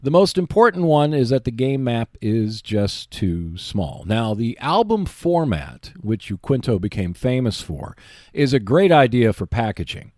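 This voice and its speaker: A man hosting a hobby show, giving explanatory, semi-scripted commentary in a measured, emphatic way. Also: medium pitch, sing-song, a little mellow, dry recording, clean studio sound. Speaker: host of a board game video show.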